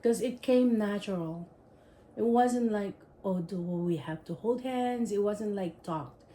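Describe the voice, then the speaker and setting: A woman's voice: medium pitch, a little slow, warm tone, slight background noise. A woman reading game questions aloud and teasing her husband in a casual chat. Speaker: wife asking the game questions.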